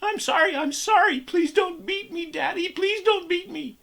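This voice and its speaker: A man takes on a small, frightened girl, pitched far above his own voice and strained thin. The sound is a high, shrieking plea from a child in terror, with an oddly changed timbre. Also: normal speed, gravelly, sharp tone, heavily acted. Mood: terrified pleading. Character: terrified little girl, sobbing.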